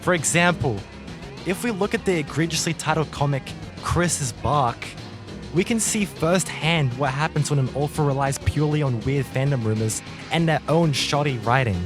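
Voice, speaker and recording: Exasperated young man ranting over music. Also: fairly high pitch. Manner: exasperated, melodramatic, drawn-out emphasis, slangy. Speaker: video commentator. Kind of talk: scripted YouTube commentary rant.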